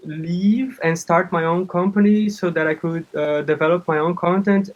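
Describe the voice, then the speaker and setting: Brazilian man, thirties, native Portuguese speaker, fairly high voice. Halting, casual interview guest on a dull recording. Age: 30s. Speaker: interview guest, comic artist and game developer.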